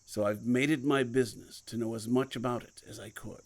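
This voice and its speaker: A man playing a secretive, commanding, guilt-burdened mentor in an audio drama, speaking deliberately and cryptically. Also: medium pitch, steady tone, darkish tone, natural voice. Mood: cryptic, assured. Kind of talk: audio fiction podcast acting.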